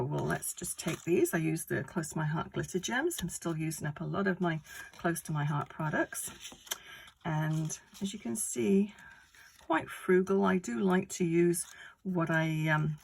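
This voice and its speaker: A woman giving a casual card-making tutorial, croaky from a cold, talking through each step in a chatty, rambling way. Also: lowish voice, a little hiss or hum. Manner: chatty, rambling step-by-step explanations with many fillers.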